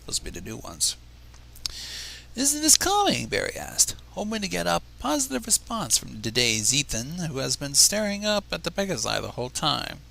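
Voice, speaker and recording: A man reading a story aloud on a livestream in an expressive, informal, theatrical and irreverent way. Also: a little high, creaky. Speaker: fan fiction reader on a livestream.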